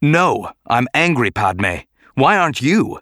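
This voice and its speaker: A man voicing a middle-aged, hot-tempered senator in a dramatic audiobook, with a mellow voice and forceful, challenging delivery. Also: fairly low pitch, expressive, moderate pace, dry recording, clean studio sound. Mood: angry. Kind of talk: dramatic audiobook character acting.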